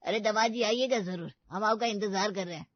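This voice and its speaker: A woman's voice: medium pitch, brisk, no echo, muffled recording, natural voice. A woman voicing a hospitable, eager older village uncle in a Hindi comedy cartoon. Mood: jovial, insistent.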